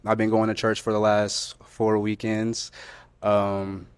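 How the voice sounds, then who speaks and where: A man on a dating show answering questions in a halting, repetitive way, with many ums and restarts. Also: lowish voice, completely flat delivery, clear, resonant tone, no room sound. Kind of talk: candid unscripted conversation.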